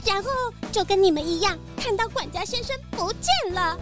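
A woman's voice: extremely high pitch, lively intonation, normal speed, loud music behind the voice. A woman voicing a hysterical female sheep who bleats between phrases in a children's audio drama. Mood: panicked. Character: panicky bleating female sheep, young lady in a castle.

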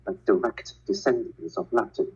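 A man whose first language is Cantonese, a physicist and educator, speaking in a measured, explanatory way with frequent filler words during a casual conversation over a call. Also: on the low side, fairly even intonation, a little mellow, slightly rough, highs cut off.

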